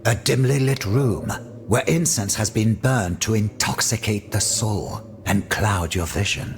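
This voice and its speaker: A man's voice: lowish voice, a little slow. An actor playing a flamboyant, indignant French nobleman in a video game, with a florid, declamatory manner. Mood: mocking relish. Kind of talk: video game cutscene dramatic acting.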